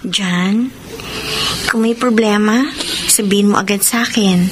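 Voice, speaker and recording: An actress playing a trusting young girlfriend with deep feelings in a Filipino radio drama, speaking Tagalog-accented lines emotively. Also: unhurried, background noise, natural voice. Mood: affectionate, gently probing. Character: young woman, trusting girlfriend, hurt and accusing when betrayed.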